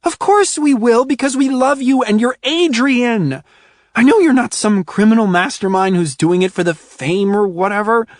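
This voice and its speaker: A woman voicing a fiery young superhero woman who scolds her friend, with a shrill, exasperated manner. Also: normal speed, darkish tone, dry recording, studio quality, natural voice. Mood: pleading.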